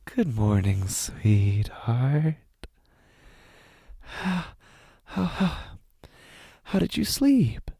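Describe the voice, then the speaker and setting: A man playing an anguished, sleepless, guilt-ridden father in a horror audio drama, halting and breathy, swinging between hushed and intense delivery. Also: mid-range voice, big pitch swings, no room sound, studio quality, heavily acted. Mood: anxious, coaxing. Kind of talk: dramatic horror audio narration in first person.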